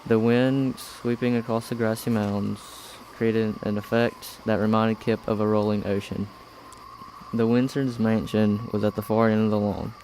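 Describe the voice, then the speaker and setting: Low, even-voiced young male narrator. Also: measured pace, a little hiss or hum, low-quality recording. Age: teenager or young adult. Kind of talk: scripted story narration.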